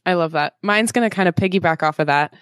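A woman in her mid-twenties talks eagerly as a guest co-host, dropping in fillers as she goes. Her voice sits a little low, and it is recorded close and dry. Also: fast, fairly bright tone, clear, resonant tone, dry recording. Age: 20s. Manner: enthusiastic, with many fillers. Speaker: guest co-host, finance coach. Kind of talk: casual podcast chat.

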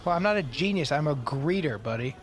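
An actor playing a hapless, easygoing store greeter in a comedy audio drama, talking in a casual, hesitant, rambling way. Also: male voice, fairly high pitch, lively intonation, a little fast, close to an everyday voice. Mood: flustered, hesitant. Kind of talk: comedic audio fiction acting.

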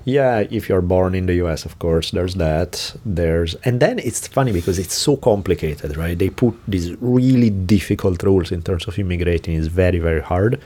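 A man's voice: low-pitched, moderate pace, hardly any pauses, mellow, husky. A man with an Italian accent hosting a podcast, telling a story in a casual, laughing way. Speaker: podcast host.

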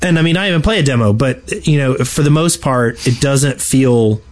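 A man's voice: medium pitch, moderate pace. A man hosting a podcast, chatting casually about gaming. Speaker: podcast host steering segments.

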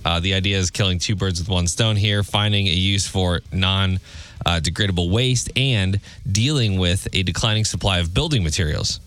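A man's voice: low voice, normal speed, fairly bright tone, faint music behind. A man hosting a radio show, chatting casually and sharing quirky news in a jokey, conversational way. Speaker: radio host.

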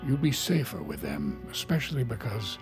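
An actor playing a calm, grave, protective doctor and father in an audio drama, speaking in a measured, gentle way. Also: fairly low pitch, over music. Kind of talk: naturalistic audio fiction acting.

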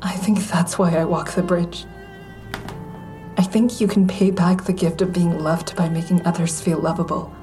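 A woman's voice: fairly low pitch, steady tone, moderate pace, mellow, soft background music, close to an everyday voice. An actress in an audio drama playing a guarded, self-doubting, caring woman, reflective and hesitant in dialogue and first-person narration. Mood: reflective, sad.

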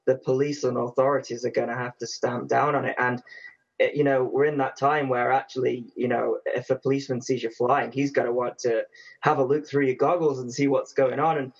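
Man, husky voice, conversational, muffled lo-fi sound. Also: mid-range voice, level tone, fairly quick. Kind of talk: casual chat.

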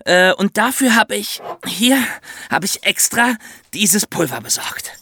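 A man's voice: high-pitched, very animated, unhurried, bright, forceful tone, slightly rough, no echo, studio quality. A male co-host of a children's podcast, explaining things instructively and addressing young listeners directly.

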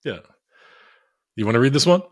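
A man co-hosting a podcast over a call, rambling and self-mocking in a flat monotone with crude jokes. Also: mid-range voice, normal speed, dry recording, studio quality. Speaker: podcast co-host. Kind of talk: casual podcast chat.

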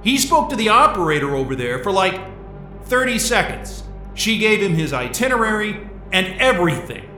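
A man acting in an audio drama as a panicked, exasperated, foul-mouthed man who speaks haltingly. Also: sits high, sing-song, pushed and bright, echoey room, soft background music, exaggerated voice. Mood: urgent. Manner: swearing, halting, agitated.

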